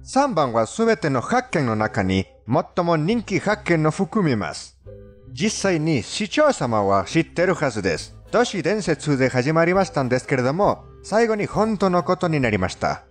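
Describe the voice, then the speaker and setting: Animated man with a foreign accent, narrating. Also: on the high side, normal speed, faint music behind. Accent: non-native. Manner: formal, storytelling delivery with stilted phrasing. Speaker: narrator of a fandubbed countdown video. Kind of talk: scripted countdown narration.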